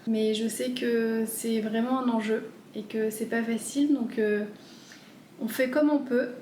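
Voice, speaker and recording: A woman in her thirties chatting casually at home as she answers viewer questions, gentle and hesitant. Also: fairly high pitch, steady tone, unhurried, roomy sound, a little hiss or hum. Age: 30s. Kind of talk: casual FAQ chat.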